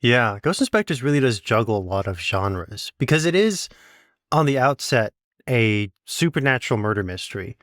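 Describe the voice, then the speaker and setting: A man's voice: medium pitch, expressive, moderate pace, a bit bright, dry recording, studio quality. A man acting as interviewer, asking questions and commenting in a casual, conversational way.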